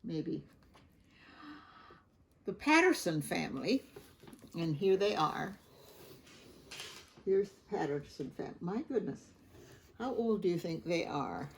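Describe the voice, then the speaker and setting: A woman reading a picture book aloud to children, gently chatting and stopping to ask the listener questions. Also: on the low side, sing-song, slow, pauses often, a little hiss or hum. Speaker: storybook reader.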